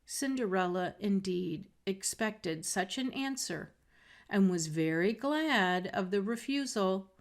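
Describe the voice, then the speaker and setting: A woman reading a fairy tale aloud as a storyteller, in a measured, expressive way. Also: mid-range voice. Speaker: storybook reader. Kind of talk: scripted read-aloud narration of a fairy tale.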